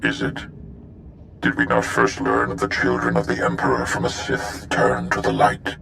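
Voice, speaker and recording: A man voicing an ancient, wise alien Jedi master in a video game, with a very deep, gravelly rumble and a measured, forgiving manner. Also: monotone, sharp tone, heavily acted. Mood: calm, thoughtful. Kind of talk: video game cutscene acting.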